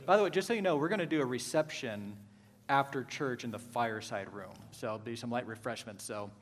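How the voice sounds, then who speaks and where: A man hosting a casual interview at a church gathering, asking relaxed questions. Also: medium pitch, fast talker, big-room sound, slight background noise. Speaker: church host. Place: church hall.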